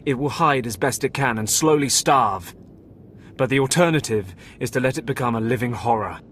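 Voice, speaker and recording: An actor playing a remorseful keeper at a Sith academy, speaking in a measured, somber way. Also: male voice, mid-range voice, animated, a bit bright, a little hiss or hum, close to an everyday voice. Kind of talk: video game voice acting.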